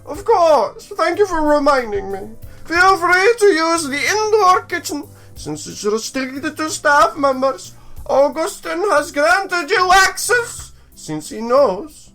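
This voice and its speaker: A man acts out a courteous female guide from a dating game. The voice sits very high and swoops about. Sentences come slowly and carefully, like formal instructions, with music behind. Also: big pitch swings, sharp tone, heavily acted. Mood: helpful, formal. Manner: slow, drawn-out, instructive delivery. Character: polite, businesslike young female archaeology staff guide.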